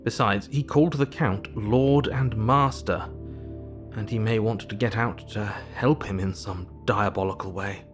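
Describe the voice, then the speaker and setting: An actor playing a stern, measured asylum doctor narrating his account formally in an audio drama. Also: on the low side, steady tone, soft background music, close to an everyday voice. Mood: uneasy, earnest.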